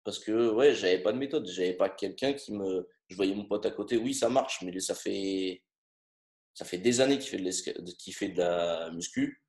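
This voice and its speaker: A man in his thirties with a fairly low voice, speaking haltingly with frequent fillers. The recording carries a noticeable roomy echo, and his talk stays casual and personal. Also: darkish tone. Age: 30s. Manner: hesitant, many fillers. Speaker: coaching client. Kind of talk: casual interview testimony.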